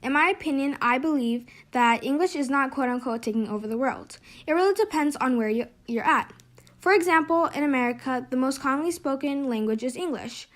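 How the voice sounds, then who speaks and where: High-voiced woman, casual and conversational. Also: brisk. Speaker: interviewee.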